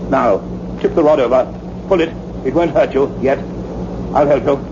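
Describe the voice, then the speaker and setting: A man's voice: medium pitch, moderate pace, lightly acted. An actor playing a commanding, urgent male scientist in a sci-fi radio play, speaking in an imperious, declamatory way. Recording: muffled, noisy old radio drama recording.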